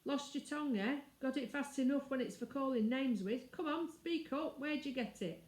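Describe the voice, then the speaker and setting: Woman voicing a gruff, sneering male horse dealer. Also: high voice, moderate pace, a little mellow, reverberant, pitched above the performer's own voice, lightly acted. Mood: taunting. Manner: rustic, taunting, colloquial.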